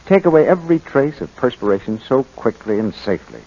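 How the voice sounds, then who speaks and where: A man's voice: medium pitch, very animated, quick pace, warm tone. A man working as a commercial announcer, reading a scripted 1940s radio advertisement. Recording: muffled old 1940s radio recording.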